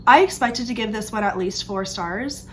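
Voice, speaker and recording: A woman's voice: medium pitch, normal speed, slight background noise. A young woman with a slightly stuffed-up voice from allergies, chatting casually about books she read, full of "like" and "um". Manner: chatty, upbeat, frequent "like" and "um". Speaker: book vlogger. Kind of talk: casual book review chat. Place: room with open sliding door. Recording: faint outdoor ambience, birds, planes.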